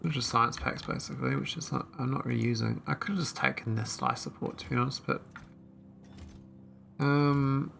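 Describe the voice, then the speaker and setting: A man talks through his game in a loose, wandering way, trailing off into fillers as he works things out. His voice is gravelly and bright, and a faint hum sits behind it. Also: mid-range voice, big pitch swings, normal speed. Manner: thinking aloud, rambling with fillers. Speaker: gameplay streamer. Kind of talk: casual let's-play commentary.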